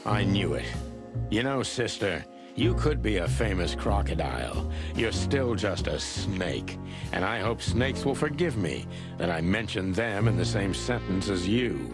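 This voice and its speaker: An actor playing a tough, weary rooster detective in a noir video game, with dry, sardonic delivery. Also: male voice, lowish voice, animated, measured pace, over loud music. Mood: menacing, contemptuous. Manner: dry, sardonic, hard-boiled.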